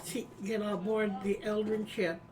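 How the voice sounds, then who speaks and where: A woman's voice: medium pitch, flat delivery, measured pace, background noise. A woman playing a tabletop game, talking in a casual, hesitant way with many ums and uhs. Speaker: player. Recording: distant from the mic.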